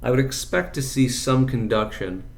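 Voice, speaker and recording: A man giving an unscripted hands-on repair demonstration, thinking aloud in a halting way as he explains each step. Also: mid-range voice, creaky. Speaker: electronics repair hobbyist presenter.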